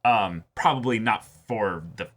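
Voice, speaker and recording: Low-voiced man thinking aloud, bright edge. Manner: halting, repeats word starts while thinking aloud. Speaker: co-host of a reaction show. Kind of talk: casual discussion of a TV episode.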